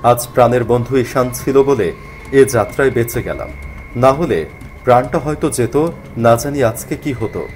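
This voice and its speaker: A man's voice: mid-range voice, normal speed, a little mellow, a little hiss or hum. A man narrating a scripted horror story in a dramatic first-person storytelling style.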